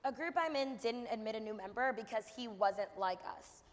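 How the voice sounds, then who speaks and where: A woman's voice: on the high side, moderate pace, lightly acted. A young woman playing a troubled college student admitting her worries in a staged monologue. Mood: uneasy. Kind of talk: staged readers theater monologue. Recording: echoey hall.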